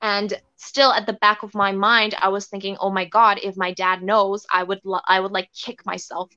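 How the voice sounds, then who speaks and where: A woman's voice: medium pitch, little pitch movement, moderate pace, bright, forceful tone, clean tone, no room sound, dull audio. A young woman raised in Canada with Thai as her first language, telling a story as an interview guest in a rambling way, full of "like" and "um" and restarts. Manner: rambling, filler-heavy with many restarts.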